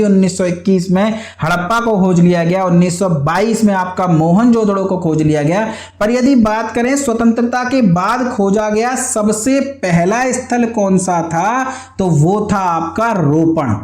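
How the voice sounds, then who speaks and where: High-pitched man teaching, echoey room. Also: darkish tone. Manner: didactic, asks questions then answers them, repeats key points. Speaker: history teacher for exam students. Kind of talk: question-and-answer exam lesson. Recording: echoey, roomy sound.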